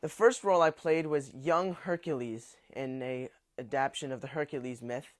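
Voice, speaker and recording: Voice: mid-range voice, normal speed. A voice actor talking casually and conversationally in an interview about acting work. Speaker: audiobook voice actor. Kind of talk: documentary interview.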